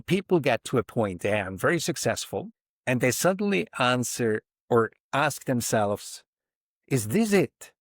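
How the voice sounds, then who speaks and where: A man's voice: lowish voice, dry recording, clean studio sound. A man in his fifties, a podcast guest speaking reflectively and emphatically, often voicing inner thoughts as quotes. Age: 50s. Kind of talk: reflective interview talk.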